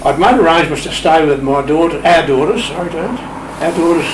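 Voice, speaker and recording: A man's voice: on the high side, brisk. Older Australian man, roomy distant recording. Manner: wry, chuckling raconteur with playful understatement. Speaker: interview guest. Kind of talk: casual anecdotal storytelling. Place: radio shack room. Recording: roomy, distant mic, clear background noise.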